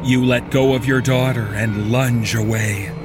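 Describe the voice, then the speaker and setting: A man giving a dramatic, scripted horror narration in a grave, deliberate and intense way. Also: medium pitch, fairly even intonation. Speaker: story narrator. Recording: prominent music bed behind the voice. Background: music.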